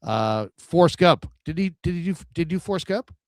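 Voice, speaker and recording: A man's voice: on the high side, animated, fairly quick, no room sound, studio quality. A man co-hosting a casual sports talk show. He sounds nasal and stuffed up from a cold, and he hesitates while searching for words.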